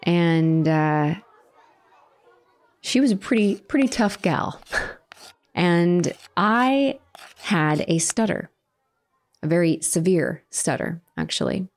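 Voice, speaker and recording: A woman giving an earnest, reflective personal testimony about her faith, pausing and restarting as she speaks. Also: low-pitched, darkish tone. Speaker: interviewee sharing her faith story.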